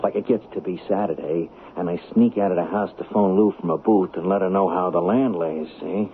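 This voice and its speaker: An actor playing a desperate, whining small-time hustler in a 1970s radio drama, with slangy, stammering streetwise delivery. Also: male voice, low voice, steady tone, fairly quick. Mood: sour storytelling. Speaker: actor and first-person narrator. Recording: tinny, noisy old radio broadcast.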